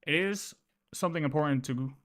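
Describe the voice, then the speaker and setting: A man's voice: a little high, brisk, fairly bright tone. A man livestreaming casual game lore commentary, thinking aloud and often repeating his words as he works through ideas.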